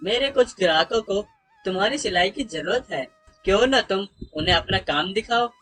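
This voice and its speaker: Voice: mid-range voice, expressive, normal speed, a bit bright. A performer voicing an encouraging, kindly male village shopkeeper with a reassuring delivery in a moral story. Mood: helpful.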